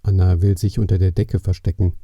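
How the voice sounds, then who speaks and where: A man narrating a scripted children's story in a measured way, speaking directly to the young listener. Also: sits low, little pitch movement, no room sound, highs cut off. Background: soft plucked guitar music.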